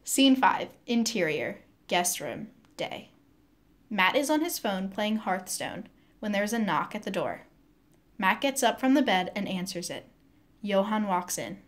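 A woman's voice: medium pitch, big pitch swings, a little slow. A woman reading scripted scene headings and stage directions as a narrator.

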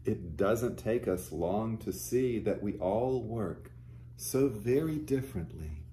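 A middle-aged man reads a children's picture book aloud in a soft, mellow tone. He takes his time, pausing to explain things simply and gently to young listeners. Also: fairly low pitch, animated, a little hiss or hum. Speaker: pastor. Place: small furnished living room, indoors.

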